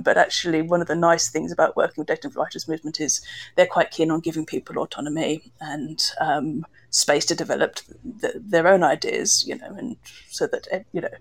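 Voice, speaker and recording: A woman speaks in a slightly low-pitched voice as part of a panel discussion. She is a composer and sound designer explaining her craft. Her manner is reflective and hesitant, often searching for the right phrase.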